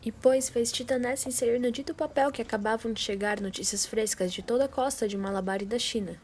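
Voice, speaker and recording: High-pitched teenage Brazilian girl narrating. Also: darkish tone. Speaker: student narrator. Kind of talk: scripted narration of a literary radio drama. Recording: amateur recording with background noise.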